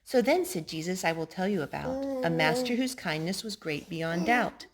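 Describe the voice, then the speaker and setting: A woman reading picture books aloud to young children in an animated way, coaxing them with questions and leaning on key words. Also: fairly low pitch, moderate pace, over a noisy crowd.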